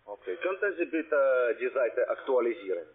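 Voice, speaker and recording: A man with a foreign accent, not a native speaker, working as a call centre agent and giving halting, insistent instructions. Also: mid-range voice, big pitch swings, husky. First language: not German. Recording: tinny, band-limited phone line.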